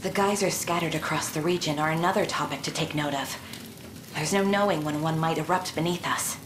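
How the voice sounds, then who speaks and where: A woman's voice: low voice, a little fast, echoey room, noisy background, lightly acted. A woman playing an earnest, loyal scout in a video game, speaking with formal sincerity. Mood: urgent, earnest. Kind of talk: video game cutscene voice acting.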